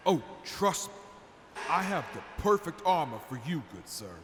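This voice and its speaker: Animated, high-voiced proud armorer played by a man. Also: normal speed. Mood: proud, assured. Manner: salesman-like, flattering, assured. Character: proud, showy, confident master armorer and quartermaster. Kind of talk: post-apocalyptic audio drama acting. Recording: long hall reverb, faint background noise.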